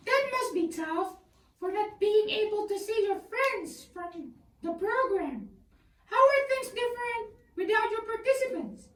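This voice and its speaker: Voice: very high-pitched, lively intonation, unhurried, a bit bright, full character voice. A performer with a childlike voice playing a courteous puppet who hosts a scripted talk show. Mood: upbeat, courteous. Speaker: co-host and interviewer. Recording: echoey room, far from mic.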